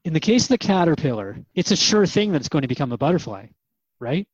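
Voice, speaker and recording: A man's voice: a little high, big pitch swings, fast talker, slightly rough, dry recording, highs cut off. A man hosting a radio interview program, conversational and reflective as he addresses listeners and his guest. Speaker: radio host.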